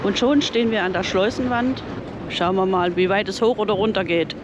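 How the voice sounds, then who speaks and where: A chatty woman talks easily at a mid pitch and keeps tagging her remarks with little questions. She stands outdoors on a boat, and clear wind noise runs behind her voice. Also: brisk. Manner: chatty, comments with "ne?". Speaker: boat vlogger. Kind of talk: casual chat on a boat.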